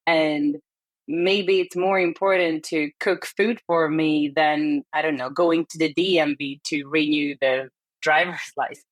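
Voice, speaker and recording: Low-voiced woman with a Hungarian accent. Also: fairly even intonation, a little slow, no room sound. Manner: candid, reflective, with hesitant fillers. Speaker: podcast guest. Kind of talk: casual interview chat.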